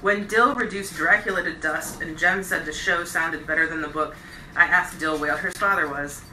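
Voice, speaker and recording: A woman reading a novel aloud as a bedtime storyteller, with expressive, deliberate phrasing and stressed words. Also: on the low side. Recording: roomy echo, distant mic, faint noise.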